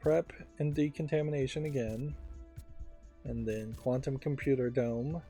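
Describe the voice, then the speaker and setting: A man commenting on a video game as he plays. His voice is mellow and mid-to-low, and he talks slowly and haltingly as he reasons things out, with faint music behind. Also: steady tone. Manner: halting, thinking aloud. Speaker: let's play host.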